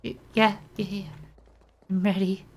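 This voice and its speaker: A woman's voice: mid-range voice, level tone, measured pace. A woman doing casual gameplay commentary, playful and switching into reading game text aloud. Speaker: game streamer.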